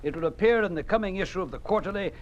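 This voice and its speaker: An actor in a 1950s radio drama playing a genial, talkative Communist party secretary with a smooth, persuasive manner. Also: male voice, high-pitched, very fast, close to an everyday voice. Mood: jovial, expansive. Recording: muffled, noisy old 1950s radio recording.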